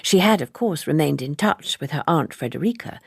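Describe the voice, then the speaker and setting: A woman reading a literary novel as scripted narration, in a measured and precise manner. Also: sits low, lively intonation, creaky, a little mellow, husky, no room sound, studio quality. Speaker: audiobook narrator.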